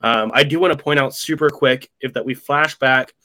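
Excitable American man, rambling. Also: medium pitch, normal speed. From Florida, USA. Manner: excited, rambling, many restarts. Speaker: podcast guest host. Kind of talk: casual sports podcast chat.

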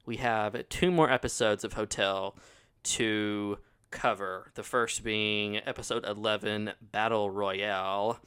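A man's voice: on the low side, steady tone, slow, a bit bright, smooth. A man co-hosting a podcast in casual, teasing chat, laughing often, with a runny nose. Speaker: podcast co-host.

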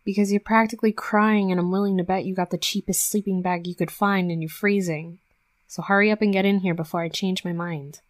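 A woman's voice: mid-range voice, fairly even intonation, fairly quick, no room sound, studio quality, close to an everyday voice. A woman voicing a tough young female werewolf bully in an intimate roleplay audio drama, brash and sweary but grudgingly tender. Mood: exasperated, bossy.

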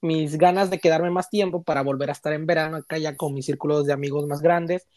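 A man's voice: on the high side, fairly even intonation, quick pace, a little mellow, dry recording, clean studio sound. A man in his late twenties from Chiapas with a Mexican accent, chatting informally as an interview guest. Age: late 20s. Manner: easygoing, informal, uses slang. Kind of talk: casual interview chat.

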